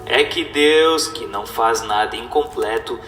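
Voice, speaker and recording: A man giving a scripted, solemn reading in a formal, didactic and deliberate manner, as a narrator. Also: medium pitch. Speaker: narrator reading a spiritist text. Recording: echoey reverberant voice with music. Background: music.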